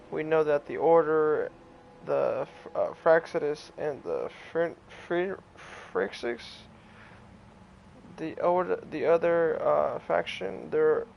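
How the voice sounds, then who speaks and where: A young man chatting casually on a game livestream, halting and repeating himself in slangy speech. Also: fairly high pitch, vocal fry, muffled recording, slight background noise. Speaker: game streamer.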